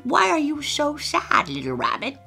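A woman voicing a loving, wise old grandmother rabbit in a storybook, with a high, gentle, coaxing voice. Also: wildly swooping pitch, moderate pace, vocal fry, faint music behind, full character voice. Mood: tender.

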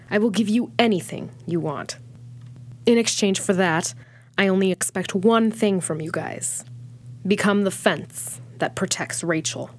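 A woman voicing a cold, vengeful young schemer in a dramatic monologue, with a husky, breathy voice. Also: on the low side.

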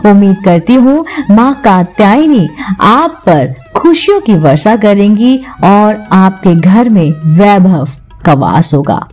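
A woman narrating a scripted devotional programme and reciting prayers in a measured, deliberate way. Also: medium pitch, expressive, darkish tone. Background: music.

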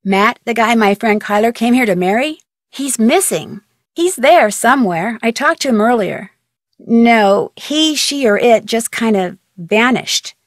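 A woman's voice: a little high, lively intonation, unhurried, no echo, clean studio sound. An actress playing a polite, inquisitive young American woman detective in a video game, friendly and matter-of-fact. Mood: earnest, puzzled. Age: young adult. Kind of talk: video game voice acting, phone dialogue.

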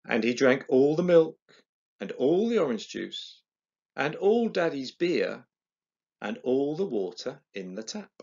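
A middle-aged man reading a children's picture book aloud expressively, with dramatic, deliberate pauses. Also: medium pitch, big pitch swings, vocal fry, a little mellow, muffled recording. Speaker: storyteller on an early years channel.

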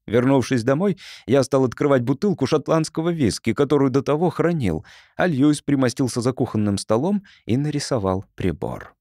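Male audiobook narrator, animated intonation, mid-pitched, dry close sound, first-person storytelling. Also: no room sound.

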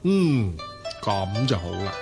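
Lecherous rich merchant, lively swooping intonation, Hong Kong Cantonese, coaxing, flirtatious, radio drama. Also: male voice, on the low side, takes it slowly, vocal fry, over music, exaggerated voice. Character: smooth, lecherous, wealthy male merchant and womanizer.

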